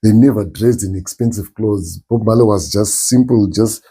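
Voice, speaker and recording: A middle-aged man with a strong Zimbabwean accent, answering interview questions thoughtfully with many pauses and restarts. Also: fairly low pitch, dark tone, slightly rough. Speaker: interview guest, musician. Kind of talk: relaxed interview talk.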